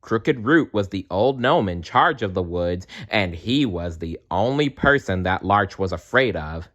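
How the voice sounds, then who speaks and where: A man reads a children's storybook aloud at a very slow, unhurried pace. His slightly low voice moves up and down in an animated way. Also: lo-fi sound. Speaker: storybook reader.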